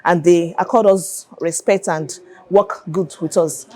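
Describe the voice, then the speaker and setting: A Nigerian-accented woman, an air traffic controller, giving a halting, hesitant interview answer. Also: fairly low pitch, steady tone, mellow.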